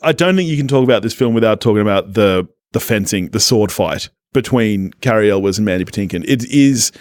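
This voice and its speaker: A man's voice: on the low side, animated, a little fast, dry recording, clean studio sound. A man co-hosting a podcast, chatting casually about films in an enthusiastic, jokey way. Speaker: podcast co-host. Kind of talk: casual film discussion.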